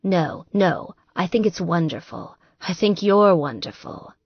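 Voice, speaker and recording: A reserved, affectionate boyfriend, played by a woman narrator in an audiobook. He speaks slowly and a little low, with gentle teasing, on a dry recording. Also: expressive, breathy edge, dry recording, dull audio, exaggerated voice. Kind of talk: audiobook character dialogue.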